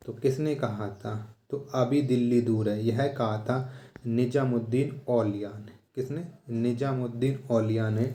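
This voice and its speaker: Male quiz tutor, soft-edged relaxed tone, mid-range pitch, repeats questions before answering. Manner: repetitive, rhetorical questioning, instructional. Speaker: exam coaching teacher. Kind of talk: quiz lesson, reading questions and answers aloud.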